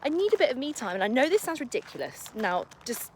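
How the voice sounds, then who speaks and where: Young girl chatting to her phone on a dog walk, bit croaky, rambly and upbeat, faint noise behind. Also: high voice, very animated, quick pace. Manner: chatty, upbeat, fillers and self-corrections, talks to her dog. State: slightly croaky morning voice. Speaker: lifestyle vlogger. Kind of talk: casual vlog chat to camera. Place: outdoors on a morning walk. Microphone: phone held while walking.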